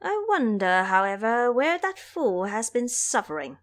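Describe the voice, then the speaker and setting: A woman's voice: fairly high pitch, very animated, a little slow. A woman voicing a confident male monkey disciple in an audio storybook, wry, breezy and amused. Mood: teasing.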